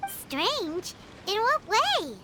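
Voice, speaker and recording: A woman's voice: very high-pitched, huge pitch swings, extremely slow, noisy background, full character voice. A woman voicing a small, inquisitive, childlike fairy companion in a video game, with music behind her. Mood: curious, puzzled. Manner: childlike, speaks of herself in third person.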